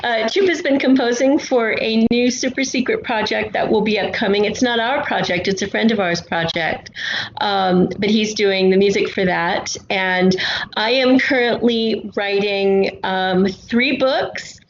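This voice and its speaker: A woman's voice: medium pitch, unhurried. Echoey woman in a casual interview. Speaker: guest writer and podcast creator. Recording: echoey room, dull lo-fi, faint noise.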